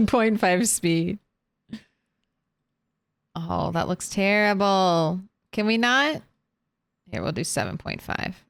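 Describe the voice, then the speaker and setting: A woman's voice: mid-range voice, big pitch swings, takes it slowly, gravelly, long pauses, dry recording. A young adult woman streaming a game, chatting casually, thinking aloud and laughing often. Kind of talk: casual chat while gaming.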